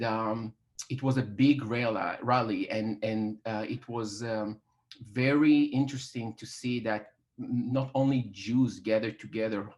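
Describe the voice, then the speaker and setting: A man's voice: mid-range voice, a little mellow. A man from Israel, a native Hebrew speaker with an Israeli accent, speaking informally as a panelist and often searching for words. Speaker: PR agency head, panelist. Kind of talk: informal unscripted panel talk.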